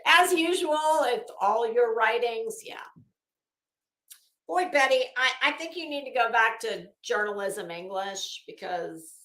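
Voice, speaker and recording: A woman with a fairly high, forceful voice talks in a roomy, echoing space. She is sarcastic and blunt, leaning on words for dramatic emphasis. Her commentary is unhurried and off the cuff, salted with swearing. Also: expressive. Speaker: commentary host, live streamer. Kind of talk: reading a document aloud with mocking off-the-cuff commentary. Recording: echoey, roomy sound.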